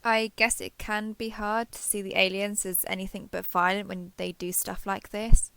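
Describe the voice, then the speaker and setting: A woman's voice: medium pitch, level tone, normal speed, creaky, clean tone, no echo. A woman playing a lonely, clingy alien posing as a human survivor in an intimate audio roleplay, speaking hesitantly and coaxingly to the listener. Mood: nervous, earnest. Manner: hesitant, coaxing, softly over-eager to please.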